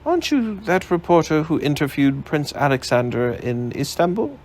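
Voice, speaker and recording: A man's voice: fairly high pitch, very animated, normal speed, a little mellow, voice pushed up. A man reading aloud, voicing a formal, genteel older male inventor with dry amusement.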